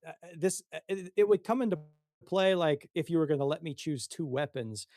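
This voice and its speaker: A man's voice: a little high, lively intonation, fairly quick, warm tone, no echo. Tentative man chatting, dry close sound. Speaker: player creating a character. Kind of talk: casual chat.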